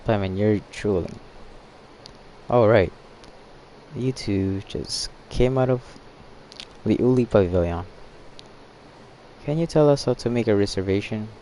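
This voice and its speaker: Man, low mellow voice, halting game playthrough, reading aloud, faint noise behind. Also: low-quality recording. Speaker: gaming streamer.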